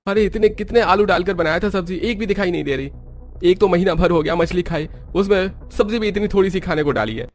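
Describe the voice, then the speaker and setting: A man's voice: high voice, fairly quick, close to an everyday voice. A man playing a poor, indebted village husband in a cartoon story, meek, grumbling and plaintive. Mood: craving, grumbling. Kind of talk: Hindi cartoon story acting. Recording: music behind the voice.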